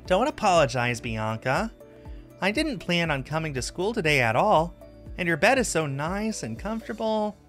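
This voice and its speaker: A man's voice: fairly high pitch, sing-song, moderate pace, fairly bright tone, faint music behind, pitched above the performer's own voice. A man voicing a carefree, unapologetic teenage girl in a visual novel read-aloud. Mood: lazy, content. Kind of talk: acted visual novel dialogue.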